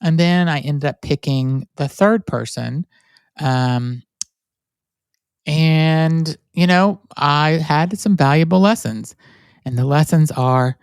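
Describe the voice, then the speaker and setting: A man's voice: a little high, clear, resonant tone, no echo, clean studio sound. A man with a nasal voice hosting his own podcast, talking candidly and rambling with many fillers and restarts. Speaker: podcast host. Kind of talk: solo reflective podcast monologue.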